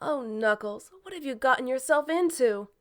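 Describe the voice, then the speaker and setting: A woman's voice: high-pitched, lively intonation, moderate pace, a bit bright. A woman playing a cool, self-assured female bat spy in a cartoon audio drama. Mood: concerned.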